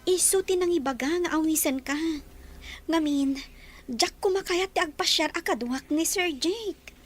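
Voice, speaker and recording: Shy young working woman for radio drama, played by an actress: very high voice, humble, hesitant, deferential. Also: normal speed, faint music behind. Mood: teasing, friendly. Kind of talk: Ilocano radio drama acting.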